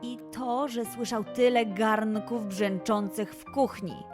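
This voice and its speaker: A woman narrating a scripted children's story with an expressive, dramatised delivery. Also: on the high side, takes it slowly, music in the background.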